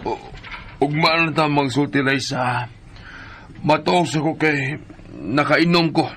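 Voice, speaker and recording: An actor with a Cebuano Filipino accent playing a drunken, defiant philandering husband in a radio drama. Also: male voice, a little high, slow, a bit bright, a little hiss or hum. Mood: drunk, dismissive.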